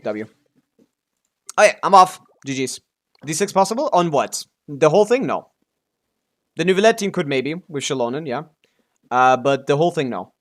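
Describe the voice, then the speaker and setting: A man's voice: on the high side, very animated, moderate pace, long pauses. A man giving casual live gameplay commentary as a streamer, offhand and sweary, full of gamer slang. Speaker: game streamer.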